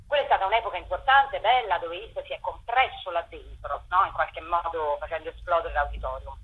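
A woman's voice: lowish voice, a little fast. A woman festival curator, a guest on a radio interview, explaining her work at length in a thoughtful way over a phone line. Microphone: telephone.

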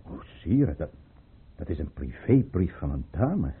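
Through a narrow, tinny old radio recording, a proud, calculating courtier. His very husky voice is formal and theatrical, with sweeping rises and falls as he addresses his sovereign in a historical drama. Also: low voice, sing-song, heavily acted. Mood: evasive. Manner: formal, measured, theatrically indignant or deferential. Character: smooth-mannered, proud, calculating governor and courtier. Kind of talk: period radio play acting.

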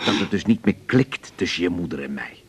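A man acting in a radio drama as a middle-aged, touchy father who haltingly searches for his words. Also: low voice, animated, husky. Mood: awkward, evasive. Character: evasive, touchy father estranged from his wife.

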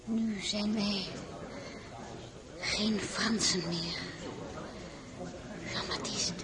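A woman acting in a radio drama as a candid, wistful crown princess, with a husky voice and measured storytelling. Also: medium pitch, over a noisy crowd. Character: French-born crown princess, candid, wry, wistful narrator. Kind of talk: radio drama narration and dialogue.

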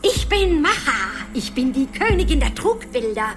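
A woman's voice: sits high, very animated, normal speed, faint music behind. An actress playing a haughty, bloodthirsty goddess queen, declaiming theatrically in a radio drama.

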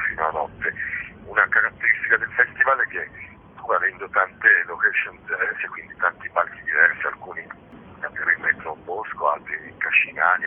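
A man's voice: rumbling low, slightly rough. A man being interviewed as a festival organiser, explaining things informally and discursively, often correcting himself. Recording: muffled noisy phone line.

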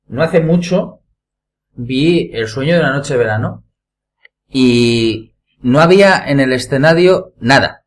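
A man with a Spain accent chats informally on a podcast panel. His voice sits at an average pitch for a man. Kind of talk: casual chat.

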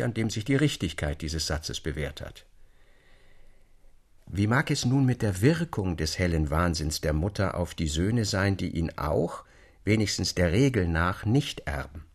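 A man playing an eloquent storyteller in a literary radio reading, expounding a dark case with deliberate, rhetorical phrasing. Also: low-pitched, full character voice. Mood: measured, pondering. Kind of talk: literary audiobook reading as dramatic monologue.

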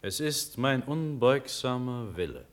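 An actor playing a young, nobly resolute prince in a classical radio drama, declaiming solemnly in measured verse. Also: male voice, mid-range voice, expressive, natural voice. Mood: solemn, exalted.

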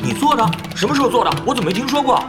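An actor playing a hyper, talkative young male star gamer in an audio drama, chattering in rapid bursts. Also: very high voice, big pitch swings, music in the background. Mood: pestering.

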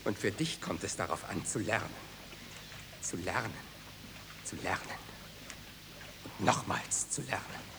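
A man's voice: low-pitched, lively intonation, measured pace, pauses often, bright, forceful tone, exaggerated voice. An actor playing a stern, authoritarian father in a German radio drama, commanding and emphatic. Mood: scornful, insistent. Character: stern authoritarian father demanding obedience and study. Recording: long hall reverb, light noise.

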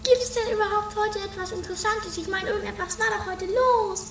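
A woman playing an excitable, dim sister with a childlike, exaggerated manner in an amateur radio comedy. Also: very high-pitched, a little fast, faint music behind. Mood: puzzled. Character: Doris, excitable dim squeaky sister in a castle. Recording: roomy distant 1980s home recording.

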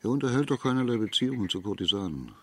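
An actor playing a shrewd, authoritative imperial judge in a radio drama, speaking in a measured, formal, deliberate manner. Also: male voice, lowish voice, expressive, breathy edge. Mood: pondering, measured.

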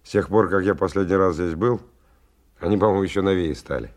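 An actor playing a gruff, wary stalker who gives terse orders and tells his story in a 1990 radio play. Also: sits low, fast talker, lightly acted. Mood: reflective, narrating. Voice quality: gravelly, husky. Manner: terse, colloquial, commanding. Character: gruff, wary, bossy male stalker leading a dangerous expedition. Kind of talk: 1990 radio drama acting with first-person narration.

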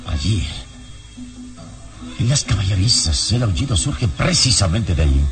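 A calm, heroic adventurer from an old radio drama. The voice is very deep and unhurried, grave and deliberate, with loud music swelling behind it. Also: male voice. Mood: grave resolve. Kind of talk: old Mexican radio serial acting. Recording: dramatic music behind, slightly distant mic.

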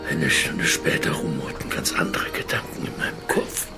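An actor playing a ruthless, cynical adventurer who recounts his schemes slowly and deliberately in a radio play. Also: extremely low pitch. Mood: brooding, scheming. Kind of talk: dramatic first-person radio play monologue. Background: loud music.

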